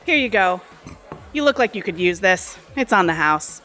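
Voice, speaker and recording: Bright-voiced bar waitress. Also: female voice, medium pitch, lively intonation, moderate pace, soft background music. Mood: attentive. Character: brisk, attentive female bar server. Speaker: actor in an audio drama. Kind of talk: audio theatre acting.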